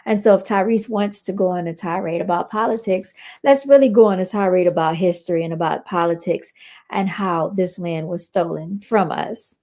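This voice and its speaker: A woman's voice: fairly low pitch, normal speed, darkish tone. An American woman hosting a reaction show, giving casual, opinionated commentary and repeating her points for emphasis.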